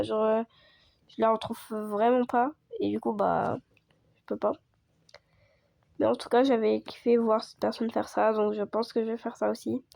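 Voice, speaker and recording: Woman, hoarse from a cold, fairly high voice, gravelly, rambling casual gameplay chat. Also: warm tone, no echo. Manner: rambling, full of fillers and restarts. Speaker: video creator.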